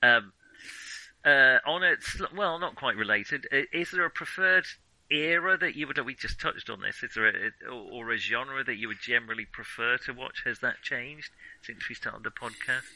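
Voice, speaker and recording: A man's voice: mid-range voice, normal speed, sharp tone, dry recording, low-quality recording. A man in his forties co-hosting a podcast, talking films casually in a hesitant, self-questioning, rambling way. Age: 40s. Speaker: podcast co-host. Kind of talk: casual film discussion.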